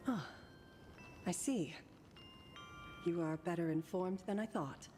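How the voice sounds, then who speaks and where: A woman's voice: fairly low pitch, takes it slowly, mellow. An actress playing a serene, mysterious ferrywoman in a video game, with formal, poised speech. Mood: knowing, solemn. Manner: formal, poised, archaic phrasing. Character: serene, mysterious ferrywoman guiding travelers to the afterlife. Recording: long reverb, game music behind. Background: game music.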